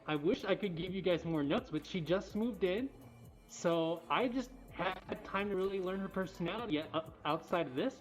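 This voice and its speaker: A woman hosting a casual livestream, chatting informally and speaking affectionately to animals. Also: on the low side. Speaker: cat cafe livestream host. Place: indoors in a cat cafe. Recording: dull livestream audio, music behind.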